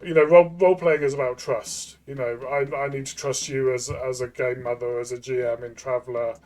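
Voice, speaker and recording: Thoughtful, halting man reviewing games. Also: medium pitch, fairly even intonation, a little mellow. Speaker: co-host of a tabletop game review show. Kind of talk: casual discussion and review.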